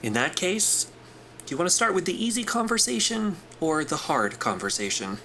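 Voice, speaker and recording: A man's voice: on the high side, sing-song, moderate pace, creaky, bright, forceful tone, a little hiss or hum, heavily acted. A man acting an easygoing corporate interviewer in a sci-fi audio drama, informal, tactful and reassuring. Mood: tactful, businesslike. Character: smooth, easygoing corporate interviewer at aerospace company.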